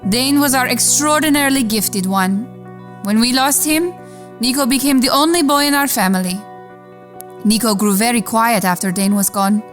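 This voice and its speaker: A woman playing a fond mother who recalls her son in expressive, storybook-like radio drama narration. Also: a little high, moderate pace, lightly acted. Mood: sorrowful. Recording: music behind the voice. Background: music.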